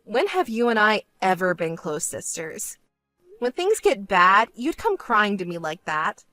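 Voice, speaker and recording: A woman's voice: medium pitch, very animated, measured pace, pushed and bright, faint music behind. Woman acting a cold, stern, fed-up sister. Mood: stern scolding. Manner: cold, firm, scolding. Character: cool, stern, fed-up sister with a firm grudge. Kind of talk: dramatized story narration.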